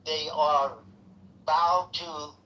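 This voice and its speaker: A man's voice: a little high, steady tone, extremely slow, bright, forceful tone, soft background music, rough recording. Insistent Iranian-accented man. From Iran. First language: Farsi. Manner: earnest, insistent, broken English. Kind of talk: political call-in discussion.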